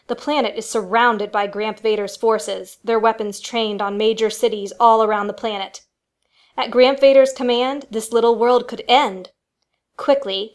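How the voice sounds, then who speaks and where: A woman reading scripted, dramatic narration for a family radio play. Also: fairly high pitch.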